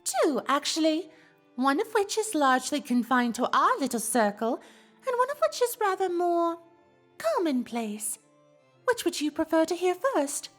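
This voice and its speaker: A woman's voice: very high-pitched, very animated, moderate pace, faint music behind. A woman playing a refined, teasing, gossipy female pony with theatrical, genteel phrasing in a cartoon fan audio drama.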